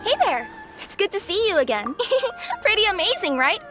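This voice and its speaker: A woman's voice: very high-pitched, sing-song, moderate pace, music in the background. A woman voicing a perky, friendly young courier in a video game. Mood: cheerful.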